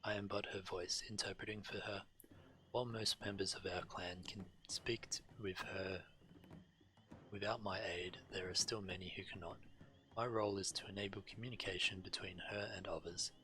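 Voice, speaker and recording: A man's voice: deep voice, steady tone, normal speed, pushed and bright, poor audio. A man reading game dialogue aloud on a stream, now and then stumbling over words.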